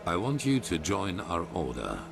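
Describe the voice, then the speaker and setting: An actor playing a solemn, imperious older leader of a religious order in a fantasy game, speaking in a measured, formal way. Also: male voice, deep voice, huge pitch swings, vocal fry, full character voice. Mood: solemn, persuasive. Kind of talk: scripted fantasy video game dialogue. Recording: loud music behind.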